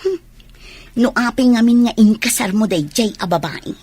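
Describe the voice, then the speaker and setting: A woman acting a jealous, scheming mistress in an Ilocano radio drama, with a husky voice. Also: fairly high pitch, moderate pace, darkish tone. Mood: sulky.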